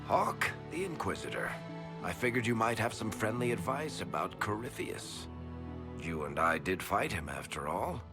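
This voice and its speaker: Game casting: wry, hesitant friend and go-between, lowish voice, very lively intonation, music underneath. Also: male voice, moderate pace. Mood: wry, introducing. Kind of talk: dramatic video game cutscene acting.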